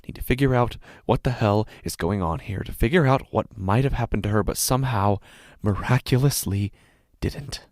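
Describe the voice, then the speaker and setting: A man playing an anguished, sleepless, guilt-ridden father in a horror audio drama, halting and breathy, swinging between hushed and intense delivery. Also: fairly low pitch, dry recording, clean studio sound, natural voice. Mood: weary, bitter. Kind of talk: dramatic horror audio narration in first person.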